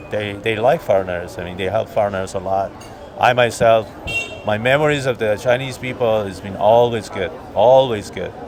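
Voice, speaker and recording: A man from Iran answering a street interview, thoughtful and hesitant, pausing often with fillers. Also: on the low side. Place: busy city street outdoors. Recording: busy street crowd behind.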